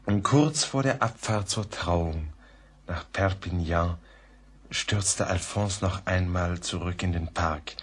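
An actor playing a grave, reflective gentleman who narrates a German radio play in a measured, often hushed way. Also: deep voice, breathy edge, exaggerated voice. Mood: reflective, sombre. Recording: faint background noise.

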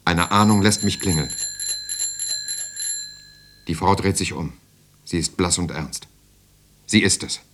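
Solemn war survivor, deep pitch, measured narration, radio drama. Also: male voice, fairly bright tone, slight background noise. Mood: tense recollection. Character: grave war survivor recalling bombed-out Germany.

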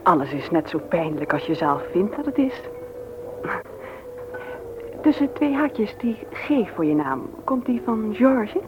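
A woman's voice: mid-range voice, sing-song, unhurried, long pauses. An actress playing a flirtatious, forthright widowed mother in a radio play, with a teasing, arch manner. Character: flirtatious, frank widowed mother of two on a first date. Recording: dull old radio recording with noise.

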